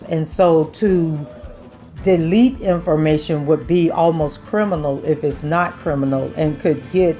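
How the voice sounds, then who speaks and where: A woman with a lowish voice that is worn and hoarse, as if she is losing it. She explains legal steps in a casual, step-by-step way and reads records aloud. Faint music plays underneath. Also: mellow. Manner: explains step by step, many "and so" links. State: losing her voice. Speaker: commentator reading court transcripts. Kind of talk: casual commentary, reading aloud.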